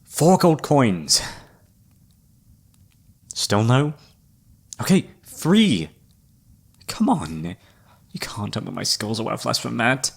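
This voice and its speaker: A man playing a flirty, theatrical travelling palm reader in a fantasy ASMR roleplay, teasing and dramatic with a breathy, husky voice. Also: mid-range voice, big pitch swings, takes it slowly, long pauses, full character voice. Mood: pleading, haggling.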